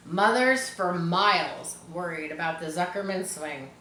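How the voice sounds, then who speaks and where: Female storybook reader: bright, mid-pitched, expressive, informal, in a roomy-sounding space. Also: measured pace, a little hiss or hum. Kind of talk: expressive read-aloud narration for children with casual asides.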